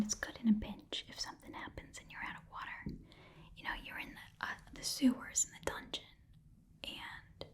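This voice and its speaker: A woman voicing a friendly, odd little goblin pawnshop keeper in a soft whispered ASMR roleplay, chatty and hesitant. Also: medium pitch, lightly acted. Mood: haggling. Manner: hesitant, rambling, chatty, quirky politeness. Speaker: ASMR performer.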